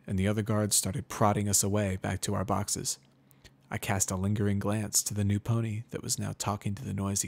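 Low voiced guy reading a story, gravelly creak, measured and brooding. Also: a little mellow. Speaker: narrator. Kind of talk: first-person dramatic story narration.

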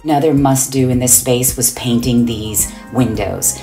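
Brief: female designer, upbeat voice-over narrator, very deep, gravelly tone. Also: a little slow, dark tone, faint music behind. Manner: upbeat, enthusiastic. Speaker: interior designer. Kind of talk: voice-over narration of a home reveal.

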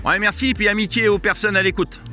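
A man's voice: sits high, steady tone, brisk, over loud music. A man chatting with other operators as an amateur radio operator. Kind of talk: radio contact chat.

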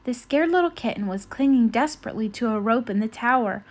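A woman reading a children's storybook aloud in an expressive style. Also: fairly high pitch, quick pace. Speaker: children's book reader.

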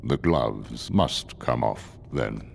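An actor playing a grim, ruthless older masked schemer in a video game, speaking slowly and imperiously. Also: male voice, animated, a little hiss or hum, full character voice. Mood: cold, ominous. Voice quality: very deep, gravelly. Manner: slow, ominous, imperious. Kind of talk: dramatic video game voice acting.

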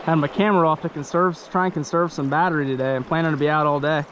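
Outdoors fishing vlogger, male, casual and excited, action-cam audio with a soft river hiss. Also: fairly high pitch, dull audio. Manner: chatty, excited, talks to the fish. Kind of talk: casual narration while fishing. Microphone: head-mounted action camera. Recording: faint river noise outdoors. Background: rushing stream water.

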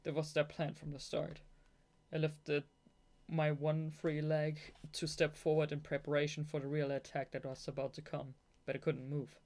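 Audiobook narrator, young adult, native German speaker, medium pitch, amateur novel reading with fannish asides. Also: level tone, moderate pace, a little mellow. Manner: giggly, gushing fangirl asides between read passages. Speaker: audiobook reader.

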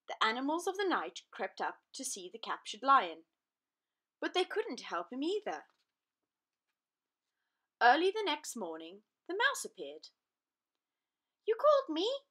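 A woman narrating a children's storybook aloud in an expressive, deliberate, dramatic way. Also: a little high.

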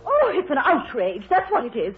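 A woman's voice: high-pitched, huge pitch swings, normal speed, a crowd in the background. An actress playing an indignant, snobbish middle-aged mother, histrionic and haughty, in 1930s radio style. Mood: outraged. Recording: muffled old radio broadcast.

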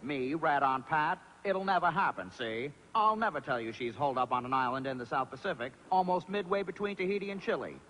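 A man's voice: on the high side, lively intonation, fairly quick, few pauses, background noise, natural voice. An actor voicing a tough-talking, defiant cartoon pigeon in an old gangster-movie parody, with a wise-guy manner. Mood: mock defiant. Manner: wise-guy, punctuating with "see".

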